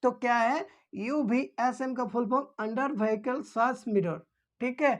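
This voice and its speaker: Woman, high voice, informal instructor, repetitive explanations, question-and-answer teaching. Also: takes it slowly.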